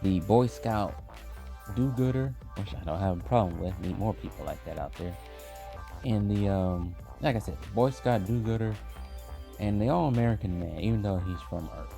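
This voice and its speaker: Male host, casual reviewer: low register with fry, informal conversational delivery, lots of pitch movement. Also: normal speed, mellow. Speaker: host of an animation history video series. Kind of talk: casual opinionated review commentary. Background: background music.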